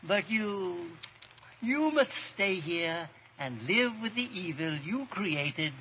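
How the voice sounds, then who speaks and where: An actor playing the smug ghost of a murderer with mannered, mocking politeness in old-time radio horror. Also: male voice, high-pitched, expressive, takes it slowly, full character voice. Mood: ominous, triumphant. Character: smug, gloating male ghost of a wife-killer. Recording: dull, noisy vintage radio recording.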